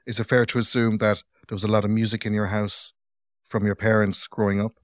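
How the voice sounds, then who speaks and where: A man's voice: fairly low pitch, level tone, fairly quick, no echo. An Irish man hosting a podcast interview, chatty and playful with teasing asides, recorded on a close mic. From Ireland. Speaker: podcast host. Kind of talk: casual interview.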